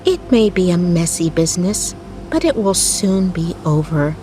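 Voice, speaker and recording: A woman voicing a determined, coldly cheerful young lady in a storybook, brisk and matter-of-fact about cruelty. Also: fairly low pitch, expressive, dark tone, faint music behind. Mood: coolly resolved. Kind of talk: storybook character voice.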